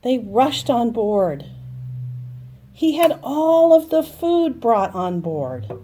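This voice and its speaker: A woman storyteller with a mellow voice and widely swinging intonation speaks to young children. She takes her time, explaining simply and pausing for emphasis. There is some light background noise. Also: a little high, big pitch swings. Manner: simple explanatory phrasing, dramatic pauses and emphasis.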